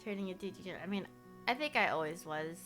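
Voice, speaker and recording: Bright-voiced young woman streamer, rambling. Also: fairly low pitch, expressive, fast, soft background music. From Korea. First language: Korean. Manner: rambling, fills speech with "like", laughs often. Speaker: livestreamer. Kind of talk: casual chat with viewers.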